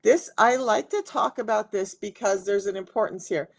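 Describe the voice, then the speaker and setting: Woman, middle-aged, raspy and gravelly, mid-pitched; chatty live-stream health coach talking to viewers. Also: moderate pace. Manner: chatty, talks straight to viewers. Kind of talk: casual live-stream talk.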